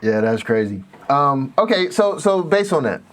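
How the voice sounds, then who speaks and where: A man from Pittsburgh with a faint Pittsburgh accent, hosting a podcast in a relaxed, reflective way. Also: on the high side, moderate pace. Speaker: podcast host. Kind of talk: casual podcast conversation.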